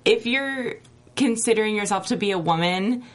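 A woman's voice: mid-range voice, moderate pace. A woman co-hosting a casual podcast chat, speaking frankly and with animation and using "like" a lot. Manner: frank, animated, says "like" often. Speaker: podcast co-host.

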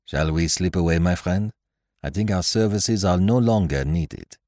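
A man voicing a courteous, shrewd detective with a dry, measured, formal manner, in an audiobook. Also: sits low, a little mellow, no echo, close to an everyday voice. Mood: pensive, dry. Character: calm, courteous, shrewd foreign male detective.

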